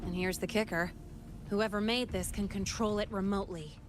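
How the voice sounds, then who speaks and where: A woman's voice: mid-range voice, fairly even intonation, normal speed, natural voice. Game role: actress as a young female tech whiz, self-assured and wry, casual delivery over music. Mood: brisk, confident. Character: confident, playful female hacker and tech expert, young adult. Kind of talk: video game voice acting. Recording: music behind the voice.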